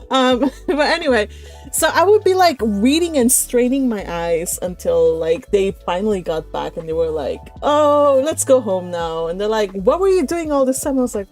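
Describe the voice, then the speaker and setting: A woman's voice: medium pitch, darkish tone. Chatty woman hosting, music behind. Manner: chatty, giggly, rambling anecdotes. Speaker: host of an online read-along. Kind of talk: casual group call chat. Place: online voice call.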